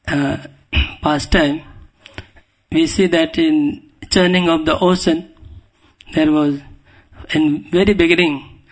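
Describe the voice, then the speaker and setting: A man with an Indian accent giving a devotional scripture lecture as a guest preacher, speaking humbly and haltingly and often clearing his throat. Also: fairly high pitch, rough recording. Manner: humble, deferential. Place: speaking at a temple.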